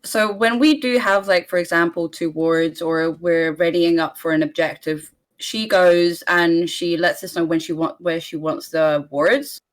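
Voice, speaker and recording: A young woman with a Bulgarian accent answers interview questions at an easy pace. Her voice sits fairly low for a woman, and she laughs lightly between points. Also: fairly even intonation, unhurried, few pauses. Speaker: esports player guest. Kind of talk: post-game interview.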